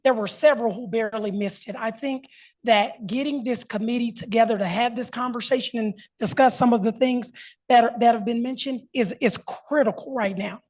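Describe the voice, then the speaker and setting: A woman's voice: a little high, a little fast, darkish tone. A woman speaking as an academic panelist, giving an unscripted, conversational and emphatic answer.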